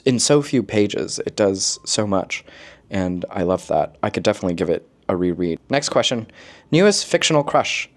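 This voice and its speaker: Man, low voice, casual vlog chat, expressive, playful asides, slangy phrasing. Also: normal speed. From lives in Korea, origin unstated. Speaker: book vlogger. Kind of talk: casual chat, book recommendations to camera.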